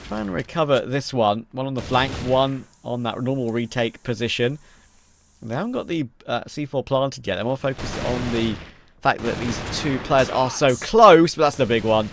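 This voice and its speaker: A man's voice: mid-range voice, moderate pace, vocal fry, noisy background. A man in his late twenties doing play-by-play commentary on an esports match, trading easy banter with a co-caster. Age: 20s.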